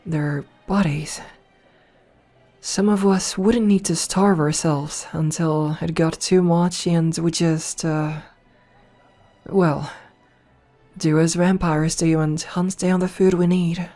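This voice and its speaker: A voice actress playing a dominant, flirtatious noble vampire princess in a soft, slow ASMR roleplay, recorded close to the mic. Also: female voice, lowish voice, fairly even intonation. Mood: musing. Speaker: voice actor. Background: faint music.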